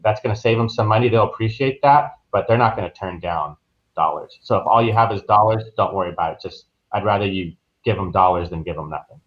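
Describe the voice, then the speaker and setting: A man's voice: fairly low pitch, fast. An American man speaking as a travel agent guest on a podcast, explaining things in an informal, conversational way. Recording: dull, lo-fi online call audio.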